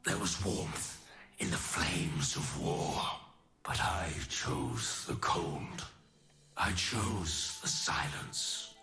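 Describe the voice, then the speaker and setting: A noble, weary immortal champion for a video game. His voice sits low and moves very deliberately, each formal phrase weighed with solemn resolve. There is a forceful edge to it, over a faint bed of music. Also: exaggerated voice. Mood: somber, proud. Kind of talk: scripted dramatic game voice lines.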